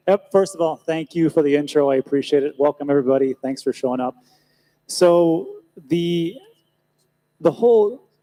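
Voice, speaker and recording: A man's voice: on the high side, mellow, low-quality recording. An American man speaking as a panelist at a live event, chatting easily and tossing joking asides to the crowd. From United States. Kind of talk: live panel talk on stage. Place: event stage before an audience.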